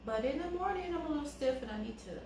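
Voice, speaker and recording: Calm-voiced woman in an echoey room. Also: sits high, racing. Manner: calm, soft-spoken, laughs easily. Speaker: co-host. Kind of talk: casual couple chat. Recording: very echoey room with faint background noise.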